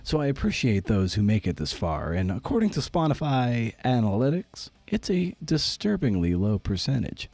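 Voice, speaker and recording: A man's voice: medium pitch, very animated, normal speed, gravelly, few pauses, a little mellow, no room sound. A man hosting a podcast, talking casually and candidly about writing in a wry, self-deprecating way. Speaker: podcast host and writer.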